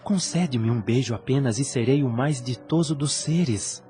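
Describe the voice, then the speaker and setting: An actor playing a lovesick young priest in a solemn audio drama, ardent and tormented, with lofty, formal phrasing. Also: male voice, mid-range voice, normal speed, warm tone, faint music behind, close to an everyday voice. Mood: ardent, pleading. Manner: lofty, formal, earnest delivery. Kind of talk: solemn audio drama acting of a religious novel.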